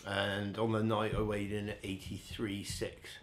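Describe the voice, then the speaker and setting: A man's voice: lowish voice, completely flat delivery, unhurried. A middle-aged man telling casual anecdotes as a bodybuilder guest in an interview, candid, jokey and self-deprecating.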